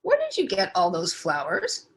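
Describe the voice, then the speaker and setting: A woman's voice: fairly low pitch, huge pitch swings, normal speed. A woman voicing a stern, annoyed policeman in a children's storybook, speaking in a commanding way. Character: stern, annoyed policeman scolding a little girl.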